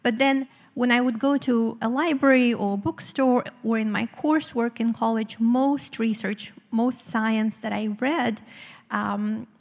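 A woman's voice: on the high side, fairly even intonation, unhurried, gravelly, big-room sound. A woman from Eastern Europe whose first language is Romanian, explaining research articulately as a guest in a live stage interview. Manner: articulate, explanatory, occasional fillers. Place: theater stage before a live audience.

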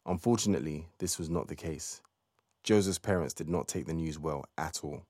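Low-pitched male storyteller. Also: moderate pace, darkish tone, no echo, clean studio sound. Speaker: narrator. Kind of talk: scripted storytelling narration.

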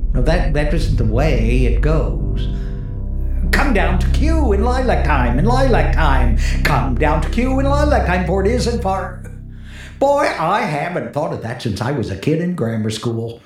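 Jumpy male DJ, stammering, wildly varied pitch, echoey vintage horror radio. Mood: rambling, wistful. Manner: nervous stammering, rambling to himself. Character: jumpy, rambling male radio disc jockey, frightened and lonely. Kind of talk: 1940s radio horror acting. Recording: echoey vintage 1940s radio recording with music.

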